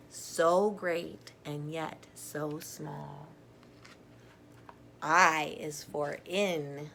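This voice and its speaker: A middle-aged woman reading a picture book aloud to children, gently and deliberately, with chatty asides. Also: fairly low pitch, creaky, slight background noise.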